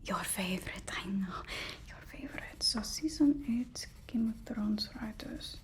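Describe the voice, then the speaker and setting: A woman playing a deferential, flustered personal assistant to a supervillain in a halting, whispered ASMR roleplay. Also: medium pitch, expressive, lightly acted. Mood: eager to please. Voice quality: soft, breathy whisper.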